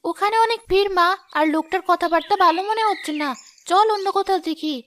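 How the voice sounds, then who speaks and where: A poor, weary cartoon mother, voiced by a woman. She speaks softly and soothingly, quieter than the child she talks to. Her voice is still high. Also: fast, slight background noise. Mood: humble. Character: tired, gentle poor mother.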